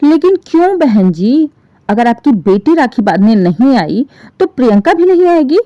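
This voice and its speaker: A woman's voice: high-pitched, big pitch swings, normal speed, mellow, no room sound, highs cut off, voice pushed up. A woman storyteller voicing a worried middle-aged mother who protests and questions plaintively on behalf of her daughter. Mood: pleading.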